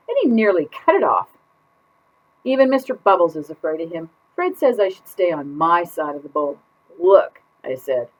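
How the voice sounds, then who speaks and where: A woman reading a children's picture book aloud as a put-upon goldfish keeping a diary, with exaggerated, exasperated emphasis. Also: medium pitch. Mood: wary, grumbling. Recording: dull lo-fi sound.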